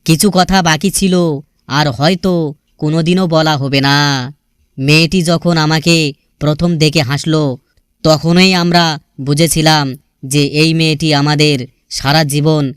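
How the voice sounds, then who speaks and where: A man's voice: on the high side, fairly even intonation, measured pace, long pauses, fairly bright tone, clean tone, no room sound, clean studio sound. A man reciting sentimental scripted lines about love in an earnest, melancholy, poetic manner.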